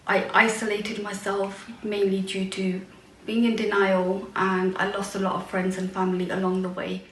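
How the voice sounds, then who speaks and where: A woman in her forties telling her personal story in a plain, steady way. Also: mid-range voice, moderate pace, far from the mic, slight background noise. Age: 40s. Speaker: charity supporter. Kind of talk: personal testimony. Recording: echoey room, away from mic, faint background ambience.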